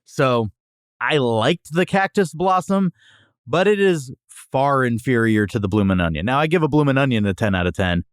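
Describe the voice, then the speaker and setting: A man's voice: medium pitch, dry recording, clean studio sound. A man hosting a podcast, chatting casually about food in an opinionated, jokey way. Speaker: podcast host. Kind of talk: casual food review chat.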